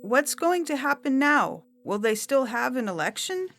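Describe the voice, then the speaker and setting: A woman's voice: high voice, big pitch swings, moderate pace, fairly bright tone, exaggerated voice. A woman playing a confused, meek old woman, hesitant and deferential, in a science fiction audio story. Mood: bewildered. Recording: music bed under the voice.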